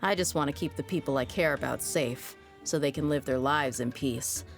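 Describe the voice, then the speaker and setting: A woman's voice: a bass voice, moderate pace, soft background music. An actress voicing a tough but easygoing young desert mercenary woman in a video game, with a casual, frank manner. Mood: earnest. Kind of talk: video game character voice lines.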